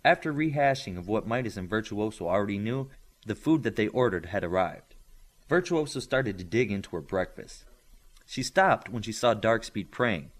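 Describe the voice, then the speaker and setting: A man reading a story aloud as scripted, expressive narration. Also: lowish voice, moderate pace. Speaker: audiobook reader.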